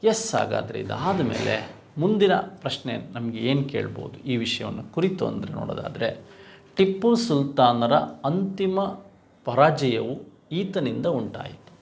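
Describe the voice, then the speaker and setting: A man's voice: mid-range voice, expressive, unhurried, gravelly, a little hiss or hum. A man with a Karnataka Kannada accent lecturing as an exam coach, didactic, repeating key points and posing rhetorical questions. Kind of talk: lecture, reviewing quiz questions.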